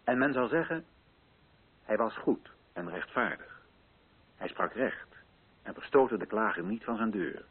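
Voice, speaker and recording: Solemn colonial official giving a grand speech to village chiefs, radio play, very animated pitch, muffled phone-like sound. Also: male voice, creaky, slightly rough, heavily acted. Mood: hushed, reverent. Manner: solemn, rhetorical, deliberate phrasing. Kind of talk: declaimed theatrical oratory in a radio play.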